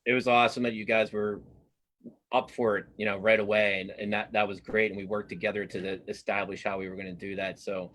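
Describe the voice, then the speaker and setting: A man's voice: fairly low pitch, flat delivery, fast talker, fairly bright tone. A man speaking as a cartoonist guest in a casual interview, informal and rambling, often interrupting himself. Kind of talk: casual interview chat about own work.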